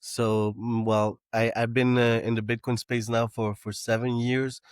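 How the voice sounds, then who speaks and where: A man's voice: medium pitch, little pitch movement, a little slow, smooth, no room sound, studio quality. A man who grew up in Canada, a startup founder talking as a podcast guest, with many restarts and fillers. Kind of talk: casual interview talk.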